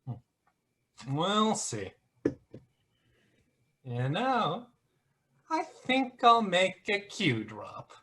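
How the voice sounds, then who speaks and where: A man's voice: high voice, huge pitch swings, extremely slow, long pauses, pushed and bright, full character voice. A man playing a smug, flippant internet conspiracy grifter who mocks theatrically in a one-act play. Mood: taunting. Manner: sarcastic, mocking, theatrical.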